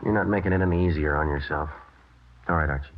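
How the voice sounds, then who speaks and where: An actor playing a terse, no-nonsense police detective in an old radio drama, speaking in a clipped, deadpan way. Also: male voice, rumbling low, fast talker, noisy background. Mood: stern, terse. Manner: clipped, matter-of-fact, deadpan. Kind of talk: 1950s radio police procedural acting and narration. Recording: dull old radio recording, loud music.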